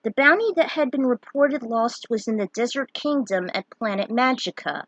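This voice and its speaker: A woman's voice: a little high, animated, normal speed, fairly bright tone, dry recording, rough recording. A woman reading a fan fiction story aloud, dramatic in her telling and given to opinionated asides. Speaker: narrator.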